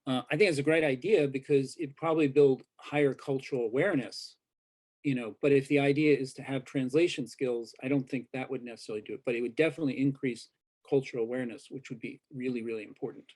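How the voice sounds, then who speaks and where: A man takes part in a panel discussion over a video call. His voice is dark and mellow. He speaks in a measured, thoughtful way, and his sentences often trail off softly. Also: mid-range voice, fairly even intonation. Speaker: journalist, panelist.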